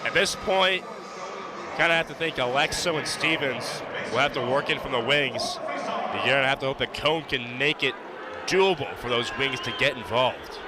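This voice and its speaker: A man's voice: mid-range voice, normal speed. A man giving informal, slangy color commentary on a live radio sports broadcast. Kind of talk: conversational live sports analysis. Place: live at a lacrosse game. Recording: noisy live stadium broadcast. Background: venue ambience and noise.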